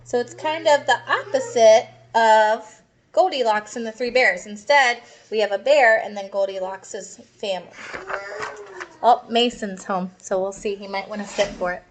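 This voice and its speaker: A woman with a high-pitched voice reads a children's storybook aloud. She is expressive and explains things as she goes, speaking directly to young listeners. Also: moderate pace, slight background noise. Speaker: storybook reader. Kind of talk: children's picture-book read-aloud with asides to young listeners.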